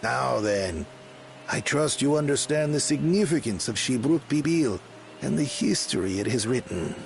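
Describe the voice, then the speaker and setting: A man's voice: medium pitch, expressive, gravelly, a little mellow, soft background music. An actor playing a solemn storyteller in a fantasy video game, speaking formally and theatrically as he recounts old legends. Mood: earnest. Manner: formal, measured, theatrical delivery.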